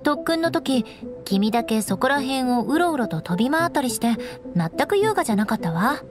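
A woman's voice: sits high, sing-song, moderate pace, music in the background. A young woman acting a proud, composed noblewoman knight in an anime game, strict but caring, with elegant, instructing delivery. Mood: cool, appraising. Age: young adult. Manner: poised, elegant, haughty, instructing.

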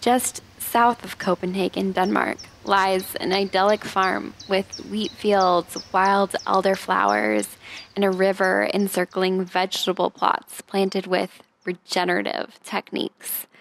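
A young woman reading scripted documentary narration in a calm, measured way. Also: medium pitch, gravelly, slight background noise. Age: young adult.

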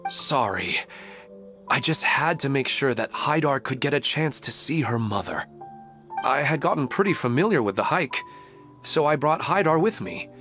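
A mid-pitched, earnest man's voice for a devoted husband and father, sincere and kind. Faint music runs behind this game dialogue. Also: big pitch swings, normal speed, fairly bright tone. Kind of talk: video game voice acting.

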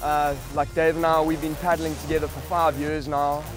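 A man's voice: fairly high pitch, little pitch movement, moderate pace, low-quality recording. A young man, an athlete, giving a casual interview answer outdoors. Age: young adult. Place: outdoors by a lake. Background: background music.

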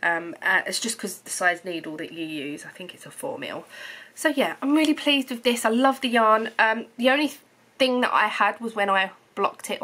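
Home vlogger, thirties, bright, chatty, slightly coldy voice. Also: female voice, medium pitch. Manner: chatty, rambling, lots of ums and restarts. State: slightly hoarse from a cold. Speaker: knitting vlogger. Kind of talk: casual chat to camera. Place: living room at home.

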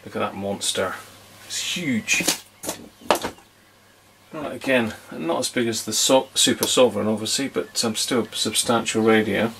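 Middle-aged male, deep and creaky, casual hobbyist demonstrating at a workbench, muttering unhurriedly. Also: a little slow, noisy background. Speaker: vintage radio hobbyist presenter. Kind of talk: casual hands-on demonstration commentary. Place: small indoor electronics workbench.

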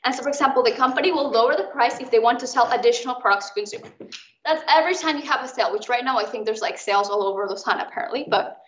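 A young woman from Mexico tutors students in a chatty, informal way, talking very fast in a fairly high voice. The recording is muffled and roomy, like an online call. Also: a bit bright, a little hiss or hum. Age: young adult. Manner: chatty, explains with everyday examples. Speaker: student tutor leading an exam recap. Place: indoors, online video call.

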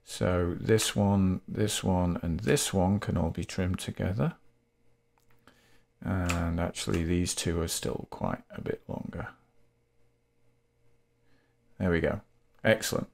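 A man explaining a software workflow step by step in a methodical, unscripted tutorial manner. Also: sits low, vocal fry. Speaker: tutorial host, game developer.

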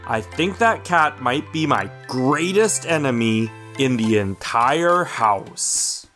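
Man voicing a slow, grumpy, dramatic storybook cat. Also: medium pitch, soft background music. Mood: disgruntled, weary. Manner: deadpan grumbling with melodramatic outbursts. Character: grumpy, dramatic, easily spooked house cat. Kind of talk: children's storybook read-aloud.

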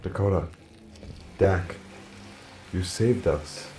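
An actor playing a dazed man in a sci-fi audio drama, speaking in a halting, eerie, repetitive way. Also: low voice, mellow, slight background noise.

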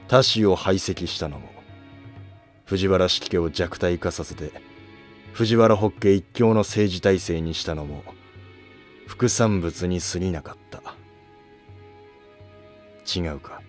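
A man performs an old, shrewd minister of an ancient court in a historical drama. The voice sits low, with grave, deliberate, probing delivery over music. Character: aging, probing court minister near the end of life.

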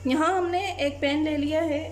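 A woman, narrating a home cooking recipe step by step in a calm voice-over as channel host. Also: high-pitched, moderate pace, slight background noise.

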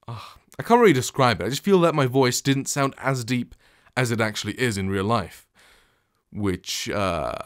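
Young man chatting in a dry studio. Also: no room sound. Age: young adult. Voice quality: deep voice. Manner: chatty, rambling, self-correcting. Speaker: vlogger comparing microphones. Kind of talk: casual talk to camera. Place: small treated home studio with acoustic foam. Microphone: studio condenser and shotgun mics close up, reflection filter.

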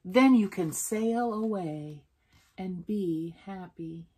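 A woman voicing a loving, self-giving female tree from a children's storybook, gentle, earnest and inviting. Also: medium pitch, slow pace, a little hiss or hum, close to an everyday voice. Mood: eager, giving. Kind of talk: children's storybook character reading.

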